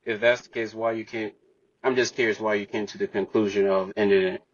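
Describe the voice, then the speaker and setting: A man hosting a casual podcast interview, asking questions in a halting way with pauses. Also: lowish voice, steady tone, dull audio.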